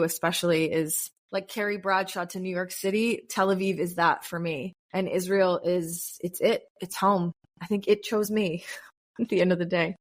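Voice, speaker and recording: A woman in her thirties from the United States, a podcast guest chatting casually and telling lively stories. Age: 30s. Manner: animated storyteller, bursts into high-pitched acted quotes and laughs. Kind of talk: casual interview chat.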